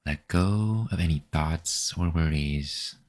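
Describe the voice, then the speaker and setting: A man guiding a meditation with gentle, unhurried, scripted instructions. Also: extremely low pitch. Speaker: meditation guide. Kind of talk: scripted guided meditation narration.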